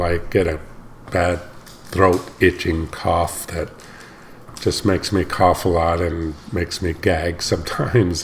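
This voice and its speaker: Deep, gravelly, slightly hoarse man. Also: slight background noise. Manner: rambling, stops often to correct himself. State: slightly hoarse, recovering from illness. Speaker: reaction channel host. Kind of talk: casual talk to camera.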